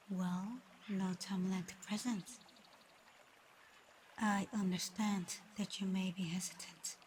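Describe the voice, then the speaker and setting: A woman plays a proud dragon girl in human form, guarded and easily flustered. She speaks formally and slowly, in a narrow, even line that can catch into shy stammers. It is an intimate ASMR roleplay, with clear ambient noise behind the voice. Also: mid-range voice, close to an everyday voice. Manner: halting, stammering when flustered, otherwise measured and formal. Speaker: audio roleplay performer.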